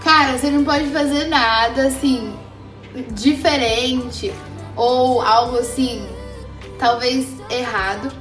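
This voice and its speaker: High-voiced young woman vlogging, echoey room. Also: slow pace. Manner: animated, slangy, addresses viewers directly. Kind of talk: casual motivational chat to viewers. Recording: roomy echo, faint background music.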